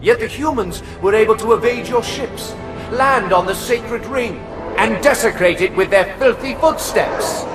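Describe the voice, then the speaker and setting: An actor playing a scornful alien council elder with a sneering, mocking delivery. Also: male voice, sits high, a little slow. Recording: game cutscene with music.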